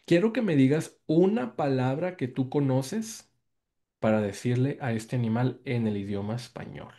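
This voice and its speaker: Mellow-voiced Mexican man lecturing. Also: medium pitch, moderate pace. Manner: explanatory, repeats key words and checks in with "¿no?". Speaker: guest lecturer presenting research. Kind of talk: academic presentation on a video call. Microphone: video call microphone.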